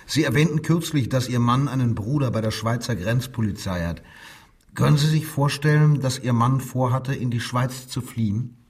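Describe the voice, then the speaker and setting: A man playing a measured, insistent Nazi-era police inspector who coaxes and probes with authority in a radio crime drama. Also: medium pitch, a little mellow, slightly rough, recorded from a distance. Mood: formal, controlling. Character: controlled, probing male Nazi-era Berlin police inspector. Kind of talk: German radio drama dialogue, interrogation.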